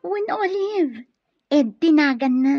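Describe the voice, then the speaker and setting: A woman's voice: sits high, expressive, slow pace, dry recording. A woman with an Ilocano accent playing a friendly, trusting young student in a radio drama. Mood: eager. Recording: dull radio drama sound, music behind.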